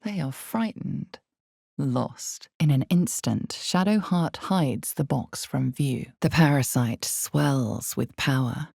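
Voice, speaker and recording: A woman performing as an ominous storyteller in a dark fantasy game, narrating in a deliberate, suspenseful, theatrical way with breathy drops toward a whisper. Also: a bass voice, no echo, studio quality, full character voice. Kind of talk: dramatic second-person fantasy game narration.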